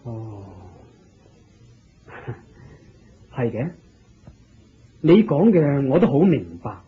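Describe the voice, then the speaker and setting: An actor with a Hong Kong Cantonese accent playing a hearty, curious, gracious king of ancient India in a Buddhist radio drama, in an expressive, exclamatory manner. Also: mid-range voice, very slow, slightly rough, exaggerated voice. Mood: intrigued, probing. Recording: dull lo-fi radio drama recording.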